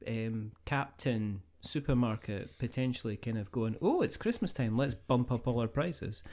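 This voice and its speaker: A male podcast host with a mid-range voice that moves up and down a lot. He chats casually and jokily, rambling along in an animated, informal way. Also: mid-range voice, normal speed. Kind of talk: casual chat.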